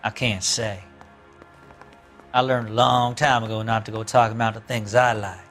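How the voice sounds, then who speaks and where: An actor playing a sly, unrepentant drifter and ex-convict in a video game, with a rural American accent and an evasive, folksy, drawling way of talking. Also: male voice, on the low side, fairly even intonation, normal speed, fairly bright tone, soft background music, close to an everyday voice. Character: sly unrepentant small ex-convict drifter suspect, farm boy. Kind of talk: video game interrogation acting.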